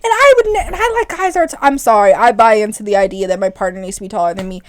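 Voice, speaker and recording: A young woman co-hosting a podcast in casual chat, animated and rambling, sometimes rising loud and shrill when excited. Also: very fast, slight background noise. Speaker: podcast co-host.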